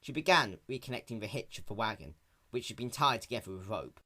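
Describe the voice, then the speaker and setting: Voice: medium pitch, lively intonation, quick pace, bright, forceful tone. A narrator giving a dramatic reading of a fan fiction story. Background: background music.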